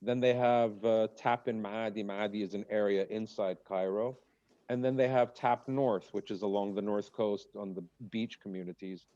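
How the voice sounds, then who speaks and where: A man's voice: lowish voice, normal speed, dull audio. A man, a comedian guest, chatting casually on a podcast in a rambling, jokey way. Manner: rambling, jokey, lively asides.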